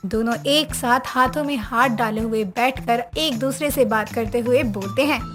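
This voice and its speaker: A woman's voice: a little high, normal speed. A woman reading scripted story narration as a narrator. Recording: music under voice.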